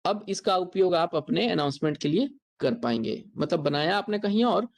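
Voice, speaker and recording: An instructor with an Indian accent delivers a software tutorial. The explanation moves step by step in a mid-pitched voice, with key points repeated along the way. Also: animated, moderate pace.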